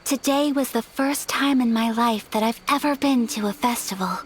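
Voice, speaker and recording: A woman voicing a graceful young noblewoman, soft-spoken, polite and earnest. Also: fairly high pitch, moderate pace, a little hiss or hum, natural voice. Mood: tender.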